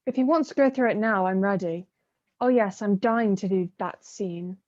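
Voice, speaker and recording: Woman playing a mellow-voiced, bitter young daughter. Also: medium pitch, moderate pace, close to an everyday voice. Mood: defiant, bitter. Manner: sardonic. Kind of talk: theatrical play acting. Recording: dull muffled video-call audio.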